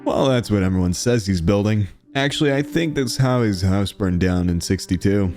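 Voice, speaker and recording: A man's voice: fairly low pitch, normal speed, a little mellow, faint music behind, lightly acted. A man playing a blunt shop clerk in a motion comic, curt and sarcastic. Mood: sardonic warning.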